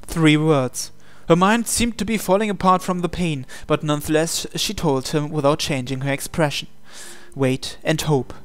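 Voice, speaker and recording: A man reading scripted, dramatic story narration in a measured, solemn way. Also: on the high side, smooth. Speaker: narrator reading translated game text. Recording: music behind the voice. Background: music.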